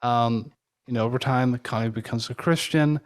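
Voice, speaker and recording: A man co-hosting a conversational interview, speaking in a halting, tentative way. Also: medium pitch, fairly even intonation. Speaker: podcast co-host interviewing a guest.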